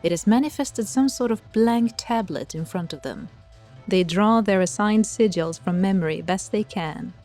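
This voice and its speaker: A woman's voice: mid-range voice, moderate pace, a little mellow, faint music behind. A woman narrating a scripted audio drama as a wry storyteller.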